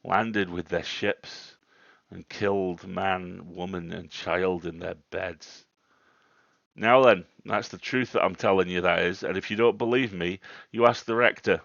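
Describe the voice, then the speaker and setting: An insistent old country churchwarden from a storybook, acted out by a man, bursting with pride. His rustic speech is emphatic and colloquial, and he gets worked up easily. The voice is creaky and pushed louder, heard through slightly dull audio. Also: low-pitched, normal speed. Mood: insistent, agitated. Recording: roomy, dull livestream audio.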